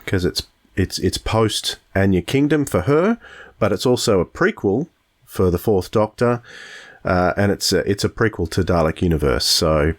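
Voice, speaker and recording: A man with a low voice co-hosts a podcast. He speaks in a casual reviewing style, measured in his opinions, often doubling back to restart a phrase. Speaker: podcast co-host.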